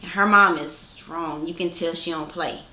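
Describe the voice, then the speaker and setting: A woman chatting casually and slangily as co-host of a reaction channel, in an animated, hyped-up way. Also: fairly low pitch, moderate pace, slight background noise. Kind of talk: casual hype reaction chat. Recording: roomy, faint music behind.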